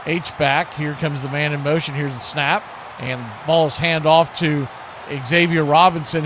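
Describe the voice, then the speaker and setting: An American man doing live football play-by-play, giving clipped present-tense calls mixed with chatty asides. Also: a little high. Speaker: play-by-play sports commentator. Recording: clear steady background noise.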